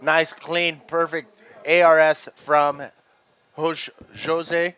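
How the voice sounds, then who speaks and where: Male color commentator, bright and crisp tone, energetic calls. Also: on the high side. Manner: upbeat, quick to laugh, excited calls. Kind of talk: live sports commentary.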